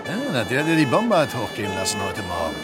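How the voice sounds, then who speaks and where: A man's voice: on the low side, sing-song, quick pace, loud music behind the voice. An actor playing a blunt male police officer in a radio play, speaking brusquely and matter-of-factly.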